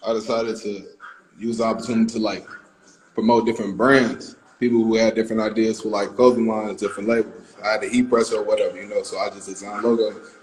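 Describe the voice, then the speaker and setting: A man's voice: on the low side, echoey room, low-quality recording. A man, a guest on a live stream, explaining his project in a plain, matter-of-fact way. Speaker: guest, film co-creator. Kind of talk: casual live-stream talk.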